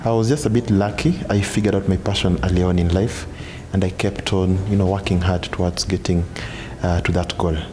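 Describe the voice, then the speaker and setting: A man's voice: low voice, fairly even intonation, fairly quick, few pauses, darkish tone, slight background noise. A man, apparently in his thirties, speaking about himself in a profile interview. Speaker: interviewee, banker.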